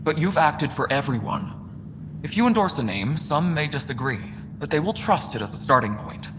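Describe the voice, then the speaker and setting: Earnest, higher-voiced male official. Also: very animated, moderate pace. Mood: reassuring. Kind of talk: video game dialogue. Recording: noisy, echoing hall, ambient sound.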